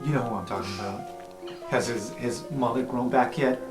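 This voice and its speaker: A man's voice: mid-range voice, brisk, close to an everyday voice. A man voicing a gossipy, sardonic student with a casual, teasing, wry way of talking. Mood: teasing, breezy. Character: breezy, sardonic, gossipy student named Aurora. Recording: roomy sound, music underneath.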